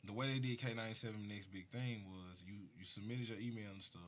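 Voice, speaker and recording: A man's voice: lowish voice, steady tone, fast. A man from Mississippi with a Southern US accent, a rapper telling stories casually as a guest on a talk show. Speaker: rapper being interviewed.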